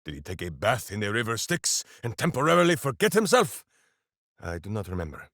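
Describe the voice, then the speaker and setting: An actor voicing a big, simple-minded, hearty berserker hero in a fantasy video game, declaiming with bombastic earnestness. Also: male voice, lowish voice, sing-song, brisk, fairly bright tone, no echo, studio quality, heavily acted. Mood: earnest, boastful. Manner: bombastic, earnest, grandly declaiming, speaks of himself by name. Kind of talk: theatrical fantasy video game character acting.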